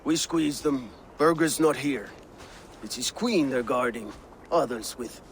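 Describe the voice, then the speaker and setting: An actor playing a rough, hardened Viking warrior in a video game, blunt and terse. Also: male voice, medium pitch, a little slow, slight background noise, lightly acted. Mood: grim. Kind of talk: dramatic video game acting.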